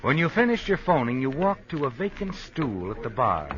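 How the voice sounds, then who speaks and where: An actor playing an ironic, knowing narrator of a 1950s radio suspense drama, taunting and deliberate. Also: male voice, medium pitch, very animated, noisy background, natural voice. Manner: taunting, deliberate, addresses the character as you. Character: ironic, knowing male narrator addressing a guilty woman. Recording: muffled old radio broadcast with music. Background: music.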